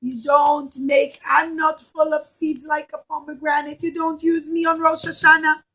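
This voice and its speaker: A woman's voice: high-pitched, level tone, normal speed, a bit bright. A woman voicing a sad fig tree in a children's puppet show, complaining insistently that it is not special. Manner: plaintive, insistent protesting.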